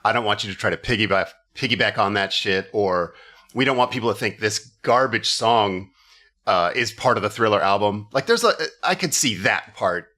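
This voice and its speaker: A man's voice: on the low side, lively intonation, normal speed, pushed and bright. A man hosting a comedy podcast, telling stories casually with wry, profane jokes.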